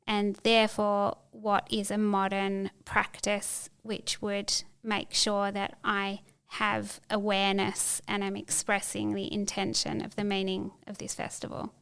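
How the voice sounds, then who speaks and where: Middle-aged woman, interview guest, very clean smooth voice, fairly flat delivery, thoughtful with restarts. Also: mid-range voice, unhurried, few pauses. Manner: thoughtful, searching for words with frequent restarts. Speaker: podcast guest. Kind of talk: reflective interview conversation.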